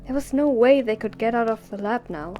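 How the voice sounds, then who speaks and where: A woman's voice: a little high, a little fast, mellow. A woman narrating an audio drama in a scripted storytelling style, with music behind her.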